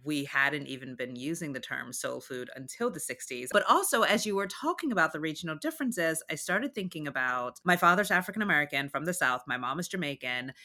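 A woman hosting a podcast, her voice very low in pitch yet bright and forceful in tone. She reflects aloud and asks her guest curious questions. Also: animated, brisk, hardly any pauses. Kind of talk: conversational podcast interview.

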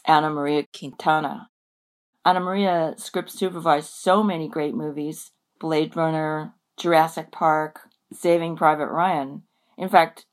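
A woman co-hosting a podcast, delivering a scripted introduction for a guest. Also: deep voice. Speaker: podcast co-host.

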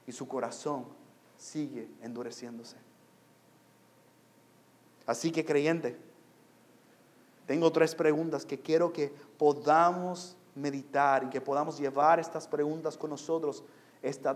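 A pastor with a high voice for a man delivers a sermon to his congregation. His first language is English. He phrases deliberately and oratorically, moving between hushed reflection and loud, emphatic proclamation. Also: steady tone. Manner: deliberate phrasing with rhetorical questions. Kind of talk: expository sermon.

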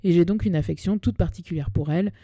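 A woman's voice: lowish voice, quick pace, no room sound, muffled recording. A woman of about thirty with a Parisian French accent, hosting a personal podcast in a chatty, self-deprecating, colloquial way, close on the microphone. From Paris, France. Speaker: podcast host. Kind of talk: personal monologue podcast, semi-scripted narration.

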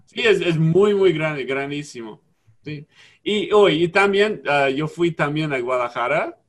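A man speaking in a slow, hesitant way and searching for his words, in a casual podcast chat. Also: fairly high pitch, animated.

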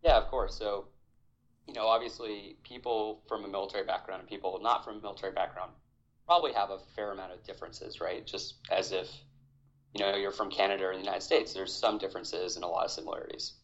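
A man in his thirties from Hawaii, an army veteran talking as a podcast guest in a measured, reflective way. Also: deep voice, fairly even intonation, highs cut off. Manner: reflective, measured, with fillers and restarts. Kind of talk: conversational interview.

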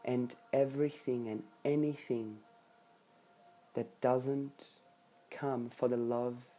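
Slow, mellow meditation guide. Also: medium pitch, fairly even intonation. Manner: soothing, hushed delivery with long pauses between short phrases. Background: background music.

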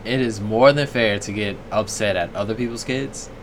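Laid-back low-voiced young man. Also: moderate pace. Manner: laid-back, deadpan, swearing freely. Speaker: podcast co-host. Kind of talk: casual chat. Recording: noisy room background.

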